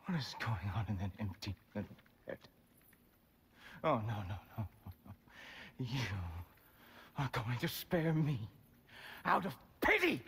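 A man's voice: mid-range voice, very animated, unhurried, long pauses. A man playing an arrogant, mocking Norse god in a video game, theatrical, haughty and taunting. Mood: sneering, defiant. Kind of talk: dramatic video game acting. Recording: loud battle noise behind voice.

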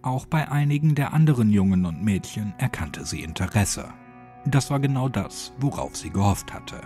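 Male horror audiobook narrator, dramatic scripted read, animated intonation, music bed. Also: lowish voice, normal speed.

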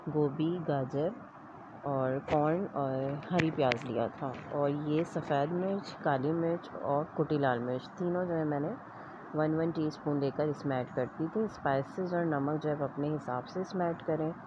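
A woman's voice: deep voice, normal speed. A woman giving a step-by-step recipe voice-over as host of a home cooking vlog. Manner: explanatory, step-by-step instruction. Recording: dull lo-fi audio with clear background noise.

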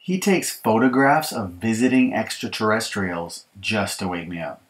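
A man's voice: lowish voice, normal speed, natural voice. Man reading as exasperated kid sibling, emphatic storybook complainer. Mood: dramatic complaint. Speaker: author reading his audiobook. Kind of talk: children's picture-book storytelling.

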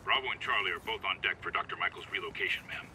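A man's voice: sits low, racing, sharp tone, a little hiss or hum. An actor voicing a curt, businesslike male guard in a video game, with clipped radio-call phrasing. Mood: routine, clipped. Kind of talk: game voice acting.

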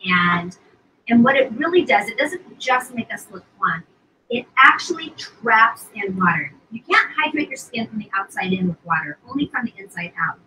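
A woman's voice: fairly high pitch, very animated, normal speed, pushed and bright. Woman in her 50s selling skincare live, lots of ums, distant mic, slight hiss. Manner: chatty, many fillers and restarts. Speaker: co-host of a live sales stream. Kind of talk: casual live product pitch. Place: indoor studio set at a table.

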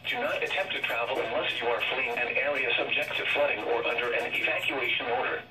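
A synthetic man's voice reads a scripted emergency weather alert in a flat, formal, measured way through a weather radio speaker. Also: mid-range voice, music in the background. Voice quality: synthetic text-to-speech voice. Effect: heard through a weather radio speaker. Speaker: automated weather radio announcer. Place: weather radios playing on a table in a small room. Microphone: camera picking up radio speakers. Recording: roomy, distant, noisy radio playback.

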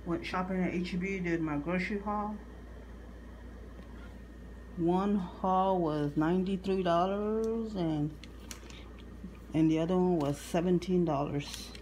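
Woman, grocery haul vlogger, slow deliberate talk, lists items with restarts, home kitchen, handheld phone, noisy room hum. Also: fairly low pitch, a little mellow. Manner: halting, restarts on numbers, lists items one by one. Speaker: couponing vlogger. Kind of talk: casual grocery haul show-and-tell. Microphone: handheld phone camera filming the table.

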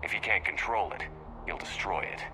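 An actor playing a calm, resolute military commander in a video game, speaking in a terse, authoritative way. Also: male voice, deep voice, moderate pace, creaky. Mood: calm, grave. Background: game music.

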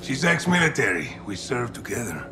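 An actor playing a proud, fiery special forces commander with a Mexican accent in a video game. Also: male voice, mid-range voice, very animated, brisk, pushed and bright, soft background music. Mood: accusing. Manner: intense, peppering speech with Spanish slang. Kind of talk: cinematic video game acting.

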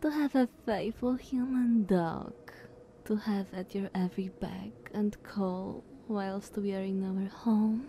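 Girl doing a teasing predatory little vampire sister, asmr roleplay, breathy, mellow and unhurried. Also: medium pitch, fairly even intonation, slight background noise. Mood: coaxing. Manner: slow, teasing, breathy half-whispers. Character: playful, eager younger vampire sister, predatory and coy.

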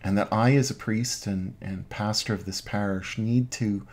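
A middle-aged man, an Anglican priest, giving an informal online sermon from his home den in measured, halting phrases. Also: on the low side, steady tone, a little mellow. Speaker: Anglican priest, parish pastor. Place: small home den with bookshelves.

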